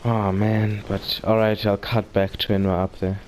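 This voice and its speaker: A man's voice: lowish voice, flat delivery, moderate pace, a little mellow. A man giving casual commentary as host of a gaming video.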